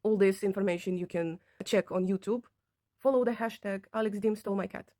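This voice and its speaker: A woman's voice: mid-range voice, steady tone, a little fast, warm tone. Woman telling her personal story. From Latvia. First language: not English. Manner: earnest, non-native phrasing. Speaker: vlogger telling own story. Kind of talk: personal account to camera, conversational.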